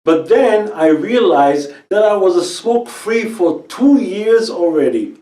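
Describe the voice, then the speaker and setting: A man in his fifties from Israel, a native Hebrew speaker, giving an earnest scripted pitch about quitting smoking in short phrases. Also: lively intonation, measured pace, dark tone, roomy sound, distant mic. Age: 50s. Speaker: natural healing expert and quit-smoking coach.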